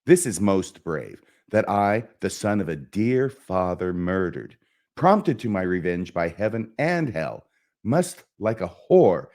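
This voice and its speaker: A man performing a self-loathing, melancholy young prince bent on revenge, in a declamatory, brooding theatrical soliloquy with dramatic pauses. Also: low-pitched, a little mellow, exaggerated voice. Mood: self-mocking disgust. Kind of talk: theatrical Shakespearean stage soliloquy.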